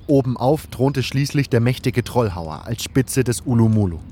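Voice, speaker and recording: Erzähler mit Vogelgezwitscher im Hintergrund. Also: male voice, mid-range voice, animated, moderate pace, mellow, slight background noise. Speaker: narrator and hero of fan audio drama. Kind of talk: scripted first-person story narration.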